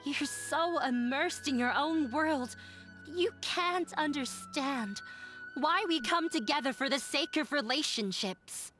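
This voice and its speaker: A resolute young woman in a video game, speaking in a formal, composed way as she faces her father. Her voice sits fairly high. Soft game music plays faintly underneath. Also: takes it slowly. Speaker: game character. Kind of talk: game voice acting.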